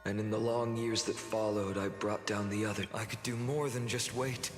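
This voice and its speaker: A man voicing a weary, sorrowful, quietly wrathful hero in a dramatic video game monologue, solemn and deliberate. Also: on the low side, steady tone, lightly acted. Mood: solemn, resolute. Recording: echoey long reverb, music underneath. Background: dramatic game music.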